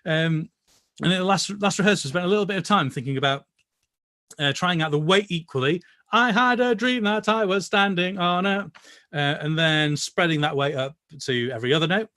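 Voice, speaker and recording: A man's voice: high voice, a little fast, bright, forceful tone, no room sound, clean studio sound. A man leading an online choir rehearsal over a video call, chatty and hesitant, with many fillers. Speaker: choir leader.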